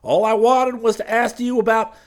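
A man voicing a wheedling, fast-talking male radio preacher with a high, sugary drawl. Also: fairly even intonation.

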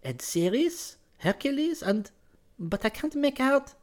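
A young woman of the bohemian set, acted out by a male narrator, speaks in a lifted, high voice. Her phrasing is formal and careful, hesitant and guarded, with very lively rises and falls, as in a period storybook romance. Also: slow pace, exaggerated voice. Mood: timid, playful. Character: young French woman, shy, secretive, feverish bohemian.

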